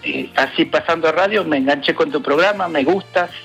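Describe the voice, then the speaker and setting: Bright-voiced Argentine man calling in. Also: a little high, brisk, vocal fry, dull audio, faint music behind. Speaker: radio listener calling in. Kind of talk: casual phone-in chat.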